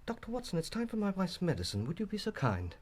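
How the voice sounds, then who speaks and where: An English actor playing a guarded, indignant stage actor and protective husband in a radio drama, clipped and formal. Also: male voice, high-pitched, huge pitch swings, quick pace, exaggerated voice. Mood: tense politeness.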